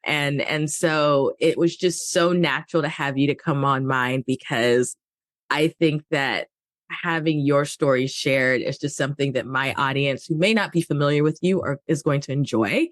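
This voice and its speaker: A woman's voice: sits low, normal speed, no echo, studio quality. A woman hosting a podcast, upbeat and friendly as she brings in her guest. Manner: upbeat, friendly, asks questions. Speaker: podcast host. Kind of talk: casual interview chat.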